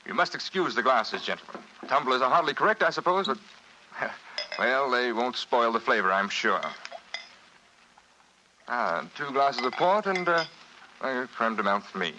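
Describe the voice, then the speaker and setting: An actor playing an evasive, genteel English expatriate schemer in a 1940s radio drama, with affected politeness and an English accent. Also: male voice, mid-range voice, lively intonation, moderate pace, long pauses, highs cut off, natural voice. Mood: nervous, affable. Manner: affected, hesitant politeness turning defiant. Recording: faintly noisy vintage radio recording.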